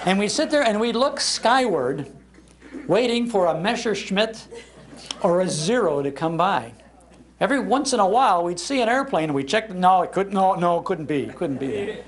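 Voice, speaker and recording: An older man hosting a live talk, telling nostalgic stories in an animated, emphatic way. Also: sits high. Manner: animated storyteller, emphatic repetition. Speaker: radio show host giving a talk. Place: public library talk before an audience.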